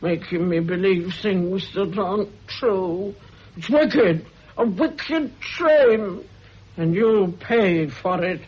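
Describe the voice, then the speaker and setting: An actress playing a spiteful, scheming older woman who speaks in a slow, husky, threatening drawl. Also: mid-range voice, darkish tone. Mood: vengeful. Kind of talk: radio drama acting. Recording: dull old radio recording.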